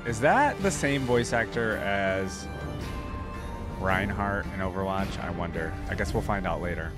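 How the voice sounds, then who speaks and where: A man hosting a reaction video with informal, easily impressed commentary, reading things out and reacting as he goes. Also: medium pitch.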